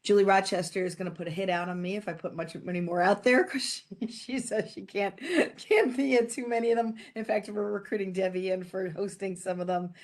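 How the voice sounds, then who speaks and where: A woman giving a quick update to an online meeting, chatty and upbeat in a loose, informal way. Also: mid-range voice, fairly even intonation, darkish tone. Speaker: presenter.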